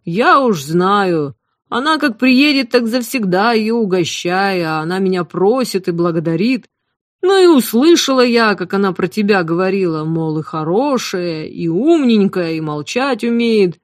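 A woman's voice: medium pitch, expressive, unhurried, dry recording, studio quality, natural voice. A woman reading an audiobook voices a huge motherly female cook with a drawling, sing-song folksy manner. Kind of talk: audiobook character voice.

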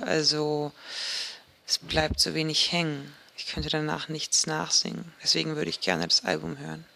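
A woman records an informal spoken diary in a halting, introspective way. Her voice is creaky with vocal fry, and she often pauses as she searches for words. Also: low voice, sharp tone. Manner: hesitant, introspective, trailing pauses. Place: recorded on a dictation device as a personal diary.

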